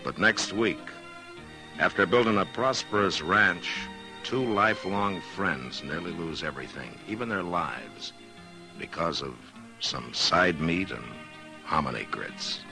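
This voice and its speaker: A man narrating a scripted 1950s radio western teaser in measured storyteller phrasing on an old broadcast. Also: low voice, lively intonation, gravelly, music in the background.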